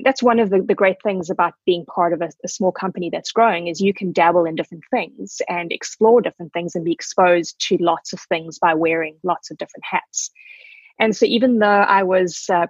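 A woman in her forties with a husky, slightly breathy voice, speaking graciously and reflectively as a podcast guest. The sound is dry and close, with no room echo. It has the dull, muffled quality of remote call audio. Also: lowish voice, fairly even intonation, brisk. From South Africa. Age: 40s. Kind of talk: conversational interview.

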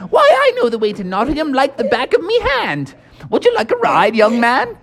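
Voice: high-pitched, huge pitch swings, moderate pace, a bit bright, pitched above the performer's own voice, heavily acted. An adult putting on a boastful toad gent. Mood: jolly. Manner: grand, jolly, exclaiming. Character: boastful reckless old male toad gentleman. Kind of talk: improvised bedtime story.

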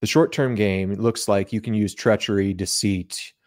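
Low-voiced man in casual theological talk. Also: steady tone, normal speed, darkish tone. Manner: colloquial, explanatory, acts out imagined remarks. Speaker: podcast guest.

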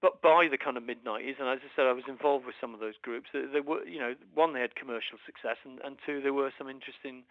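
A man from Wales, a musician guest in a casual interview, talking haltingly with many restarts and fillers. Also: medium pitch, no echo, lo-fi sound.

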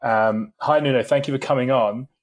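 A man hosts an interview podcast in a medium-pitched speaking voice. He asks polite, loosely phrased questions and pads them with "um" and restarts. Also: normal speed. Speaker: podcast host.

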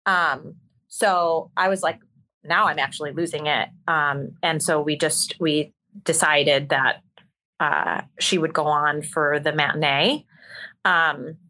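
Bright-voiced woman telling candid stories. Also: sits low, fairly even intonation, slow pace. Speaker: interview guest, musical theater performer. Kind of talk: candid conversational storytelling.

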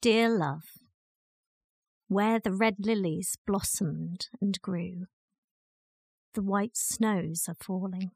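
Slow, husky woman reciting poetry. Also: medium pitch, darkish tone, no room sound, clean studio sound. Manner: measured, deliberate phrasing with pauses. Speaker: volunteer or staff poetry reader.

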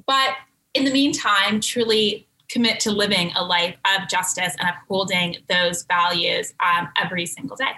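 A woman's voice: lowish voice, fairly even intonation, moderate pace, pushed and bright, a little hiss or hum, low-quality recording. A young Canadian woman interviewed as a guest, answering in composed, articulate full sentences. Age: young adult. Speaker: guest, brand co-founder.

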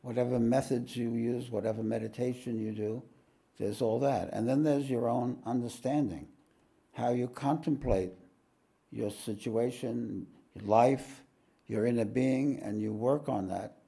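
Croaky, gravelly man giving a spiritual talk. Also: mid-range voice, fairly even intonation, dark tone. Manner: unhurried, plain-spoken, frequent throat clearing. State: croaky, hoarse voice. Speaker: spiritual teacher. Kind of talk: live satsang talk. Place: ashram, live talk.

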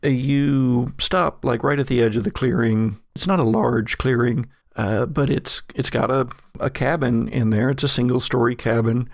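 A man narrating a horror tabletop game as game master, telling it in a halting, descriptive way. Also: mid-range voice, vocal fry, no room sound, studio quality.

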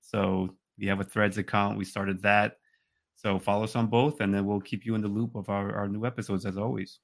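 A man co-hosting a podcast, chatting casually and telling stories in an animated way, often repeating his phrases. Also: fairly low pitch, fairly quick, vocal fry. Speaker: podcast co-host.

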